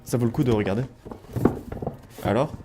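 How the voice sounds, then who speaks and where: A man's voice: medium pitch, moderate pace, over loud music, lightly acted. A man playing a level-headed, loyal leader in a French audio drama, earnest and firm as a peacemaker. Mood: calm, hesitant. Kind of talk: French audio fiction acting.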